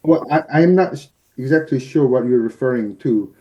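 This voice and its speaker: A man in his fifties with a deep, mellow and very dark timbre explains legal procedure patiently over a video call. He pauses often on fillers, keeping his pace measured. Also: dull audio. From United States. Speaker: arbitrator and mediator guest. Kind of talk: explanatory interview answers. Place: office with shelves, indoors. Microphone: video call webcam.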